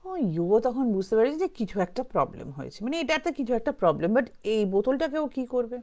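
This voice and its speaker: Higher-pitched woman, informal Bengali-accented storyteller. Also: sing-song, fairly quick, a little mellow. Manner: conversational, mixes English phrases into Bengali. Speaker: storyteller, show host. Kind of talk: informal spoken horror storytelling, unscripted.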